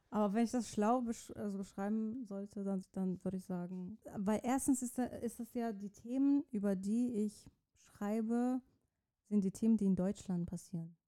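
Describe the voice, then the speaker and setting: Young woman from Iran, native Persian speaker, very dark timbre, halting interview answers. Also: medium pitch. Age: young adult. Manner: searching for words, many restarts. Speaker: interview guest, student writer.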